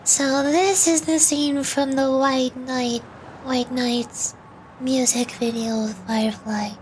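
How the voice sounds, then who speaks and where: A woman's voice: high voice, steady tone, slow, slight background noise, low-quality recording. A woman streamer giving casual commentary as she plays through a game.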